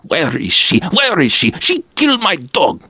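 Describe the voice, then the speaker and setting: A man voicing a grieving stranger who speaks broken English in a tattered, hoarse voice. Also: a little high, huge pitch swings, moderate pace, pushed and bright, no room sound, pitched above the performer's own voice, exaggerated voice. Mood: mourning. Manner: mournful, repeating.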